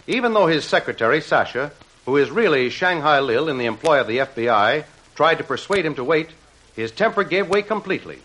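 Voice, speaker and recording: An actor as the dramatic narrator of an adventure serial, in 1940s radio style. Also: male voice, a little high, very animated, fairly quick, fairly bright tone, rough recording.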